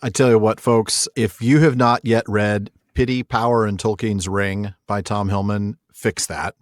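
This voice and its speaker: A man's voice: on the low side, fairly even intonation, unhurried, no echo, clean studio sound. A man hosting a podcast in casual, emphatic conversational style. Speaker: podcast host. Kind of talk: casual chat.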